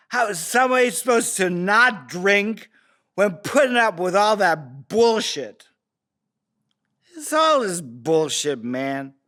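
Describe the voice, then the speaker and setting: Man acting a drunken, bitter deadbeat dad, drawn-out speech. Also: high-pitched, pushed and bright, heavily acted. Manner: slurred, halting drunken delivery with rambling asides. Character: drunken bitter deadbeat father, self-excusing, crude. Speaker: voice actor at a table read. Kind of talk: dramatic monologue at a table read.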